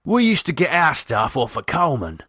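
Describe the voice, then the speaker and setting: A man voicing an anxious working-class husband, speaking in nervous, halting, pleading bursts. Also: a little high, big pitch swings, bright, forceful tone, husky, dry recording, studio quality, pitched above the performer's own voice, full character voice. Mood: worried.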